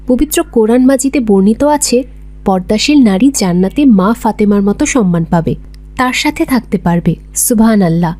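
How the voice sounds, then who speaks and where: Woman as a mellow, devout cartoon schoolgirl. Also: medium pitch, lightly acted. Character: devout, modest orphan schoolgirl, patient and earnest. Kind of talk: Bengali moral cartoon voice acting.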